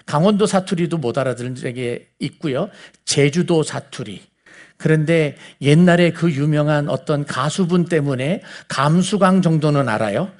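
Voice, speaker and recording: A man with a high voice and a Seoul accent teaches like a preacher talking to his congregation. He explains step by step in a conversational way, often turning to his listeners with rhetorical questions. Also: normal speed. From South Korea. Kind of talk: conversational sermon lecture to a congregation.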